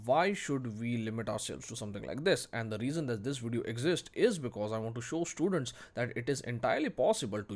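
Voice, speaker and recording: A man teaching in an informal tutorial, speaking bluntly and emphatically and repeating phrases for stress. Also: medium pitch. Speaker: 3D modelling teacher. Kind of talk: informal screen-recorded tutorial commentary.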